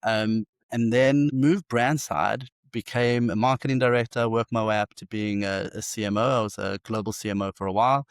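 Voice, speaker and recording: A South African man in his forties speaks as an interview guest, and his voice sits low. He tells his stories eagerly, restarting phrases as he goes. The sound is dry and close, with no room around it. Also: fairly even intonation, clear, resonant tone, no echo. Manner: enthusiastic, fast storytelling with many restarts. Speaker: agency founder and CEO, guest. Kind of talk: casual interview talk.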